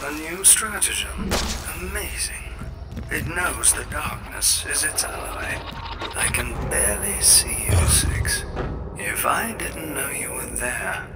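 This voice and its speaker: An actor playing a cold, fascinated scientist who muses slowly and menacingly over his test subject in a video game. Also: a little high, sing-song, creaky, distant mic, loud music behind the voice, heavily acted. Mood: fascinated, hushed. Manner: slow, deliberate, menacingly musing. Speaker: research scientist. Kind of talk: cinematic video game acting.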